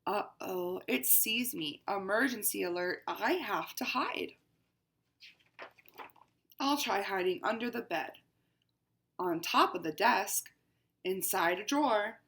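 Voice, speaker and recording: A woman's voice: medium pitch, expressive, slow, long pauses, a bit bright. A woman voicing a haughty, pampered house cat as she reads a picture book aloud, with a dramatic, exclaiming delivery. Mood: fussy. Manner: dramatic, exclaiming storybook reading. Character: haughty pampered house cat, female-ish narrator, fussy.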